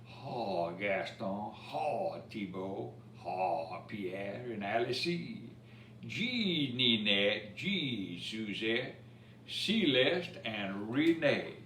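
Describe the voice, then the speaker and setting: A man voicing an old Cajun Saint Nick from a storybook, an excitable gator driver who hollers and exclaims. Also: on the low side, very slow, full character voice. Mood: commanding calls. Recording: roomy, distant mic with faint noise.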